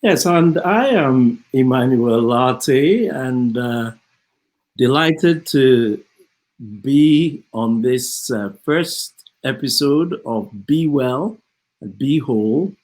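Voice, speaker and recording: An older man with a Ghanaian accent, speaking in a measured, halting, reflective way as co-host of a conversation. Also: mid-range voice, expressive, darkish tone, low-quality recording. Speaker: co-host, theology professor. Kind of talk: reflective conversational self-introduction.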